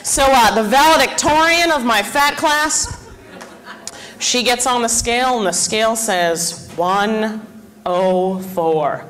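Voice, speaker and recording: A young woman in her twenties performing a self-deprecating stand-up comedy set on a club stage, with deadpan punchlines and chatty asides to the crowd. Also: a little high, slow, poor audio. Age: 20s.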